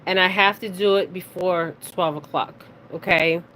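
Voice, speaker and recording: A woman hosting a casual spiritual reading, speaking haltingly, stumbling over words and often checking in with her listeners. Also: on the low side, fairly even intonation, fairly bright tone. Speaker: spiritual reader and card reader hosting her own video. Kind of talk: casual psychic reading, reading news details aloud. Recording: clearly noisy recording with background ambience.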